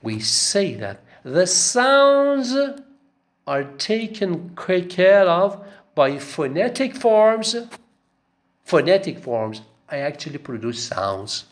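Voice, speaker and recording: A man's voice: high voice, big pitch swings, slow. A male lecturer taking students through a course text, repeating key phrases and checking in with "okay?". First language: not Arabic. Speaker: linguistics lecturer.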